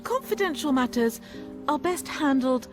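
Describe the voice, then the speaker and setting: An actress playing a discreet adventurer envoy in a video game, with a formal, diplomatic manner. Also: female voice, sits high, lively intonation, moderate pace. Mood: guarded, respectful. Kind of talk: video game cutscene acting. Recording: game music behind the voice. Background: game music.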